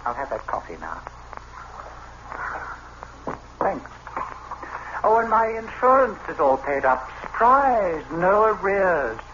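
An actor in an old-time radio drama playing a jealous, brooding husband, bitter and suspicious, with a theatrical, sardonic delivery. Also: male voice, high voice, sing-song, a little slow, noisy background. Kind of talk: old-time radio drama acting, first-person narration and dialogue. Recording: muffled vintage radio recording, loud music.

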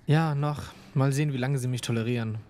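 A man's voice: mid-range voice, steady tone, fairly quick, mellow, lightly acted. A man acting a flippant young student in an audio drama, talking in a wry, colloquial way. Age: young adult. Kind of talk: naturalistic audio drama dialogue.

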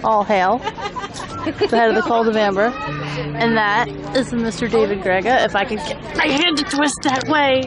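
A woman's voice: a little high, lively intonation, moderate pace, over a noisy crowd. A woman hosting a podcast vlog, narrating with jokes and swearing at an outdoor festival. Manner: jokey, swears freely, tour-guide patter. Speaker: podcast host. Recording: handheld camera at a loud outdoor festival with music.

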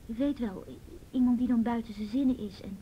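A woman playing a reluctant, halting teenage schoolgirl in a radio drama. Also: natural voice. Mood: guarded. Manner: halting, hesitant, guarded. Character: hesitant, reluctant teenage schoolgirl, grieving her murdered friend. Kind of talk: Dutch radio drama acting. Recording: dull old radio recording, faint rumbly noise.

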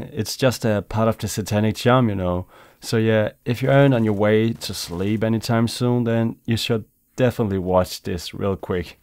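A young man with Danish as his first language chats in English in a relaxed, casual way, often tossing in "man" and "you know." His voice sits low and smooth. It is recorded close and dry, with no room sound. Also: no room sound. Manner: laid-back, chatty, says "man" and "you know". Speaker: YouTuber. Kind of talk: casual commentary over gameplay.

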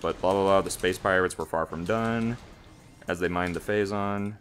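A man's voice: low-pitched, normal speed, a little hiss or hum. A man hosting a gaming show, bantering casually and reading aloud over a video game.

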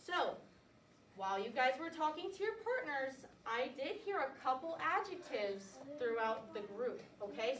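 High-voiced teacher in an echoey classroom. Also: female voice. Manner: lively, coaxing, call-and-response cues. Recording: echoey classroom from far off, light noise.

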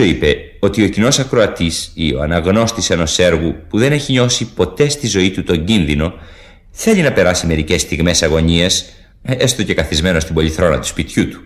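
A man presenting a radio play in a formal, measured, scripted style. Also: on the low side.

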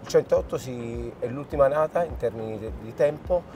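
A man in his forties from the shipyard, answering interview questions on a yacht deck, speaking haltingly with restarts. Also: medium pitch, warm tone. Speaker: shipyard representative. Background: background music.

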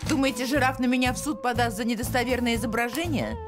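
A woman's voice: a little high, fast talker, over loud music, lightly acted. A woman playing a brash, haughty middle-aged woman in a cartoon, dismissive and theatrical. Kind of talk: animated cartoon voice acting.

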